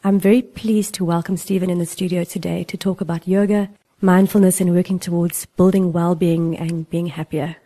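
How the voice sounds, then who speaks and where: Female interviewer, mellow tone, relaxed and friendly. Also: fairly low pitch, moderate pace. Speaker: podcast host. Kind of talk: interview hosting.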